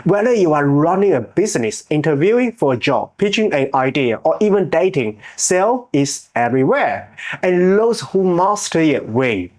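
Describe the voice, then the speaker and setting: A man in his thirties with a Chinese accent, hosting his own channel and giving earnest, measured, scripted motivational narration with small grammar slips. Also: on the high side, sing-song, darkish tone. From China. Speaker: channel host.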